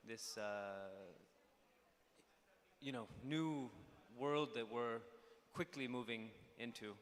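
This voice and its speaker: A young man, a documentary filmmaker, giving an unscripted introduction on a conference panel and stopping often to restart and fill pauses. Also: mid-range voice, fairly bright tone. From Pakistan (born in the United States). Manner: hesitant, many fillers and restarts. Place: conference stage in a large hall. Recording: hall reverb with faint ambience.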